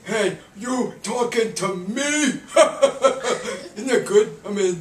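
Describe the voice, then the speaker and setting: Man voicing a high-pitched goofy puppet brother. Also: expressive, extremely slow, full character voice. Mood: excited showing off. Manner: bantering, repeats himself, exclaims. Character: goofy wisecracking brother puppet, excitable show-off. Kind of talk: improvised puppet skit. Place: hotel room. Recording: roomy, distant, noisy amateur recording.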